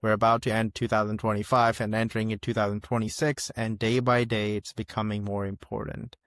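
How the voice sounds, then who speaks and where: Male podcast host, lower voice, dry close sound, encouraging interviewer. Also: little pitch movement, brisk, fairly bright tone, no room sound.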